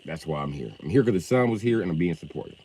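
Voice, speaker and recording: A man chatting casually on a livestream, loud and profane, with an animated delivery. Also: sits low, very fast, slightly rough.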